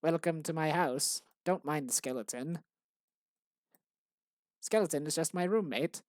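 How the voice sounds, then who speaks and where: A man's voice: on the high side, unhurried, darkish tone, no echo, clean studio sound, lightly acted. A man playing a cheery house host. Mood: welcoming. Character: friendly man welcoming guests to his house.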